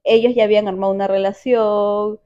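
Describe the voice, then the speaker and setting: A woman from Peru with a Peruvian accent, telling a personal story in casual chat, animated and quick to repeat words. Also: medium pitch, clear, resonant tone, lo-fi sound. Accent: Peruvian Spanish.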